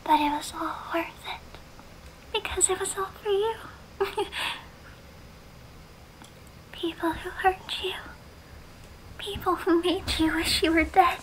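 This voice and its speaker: A woman's voice: very high-pitched, steady tone, slow pace, long pauses, a little hiss or hum, low-quality recording. A woman playing an obsessive, lovestruck, sweetly menacing young yandere in an intimate ASMR roleplay, often whispering. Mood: dark obsession.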